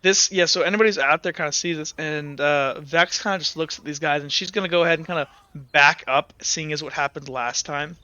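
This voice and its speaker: A man's voice: on the high side, brisk, few pauses, bright, forceful tone, smooth, dry recording. A man narrating a tabletop game as game master, rambling with many fillers and hedges. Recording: dull lo-fi audio.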